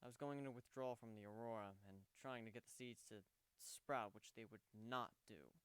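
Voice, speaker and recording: A man voicing a gentle, wry male pegasus pony who speaks matter-of-factly in a story reading. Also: fairly low pitch, normal speed, clean tone, no echo, close to an everyday voice. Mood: subdued, explaining.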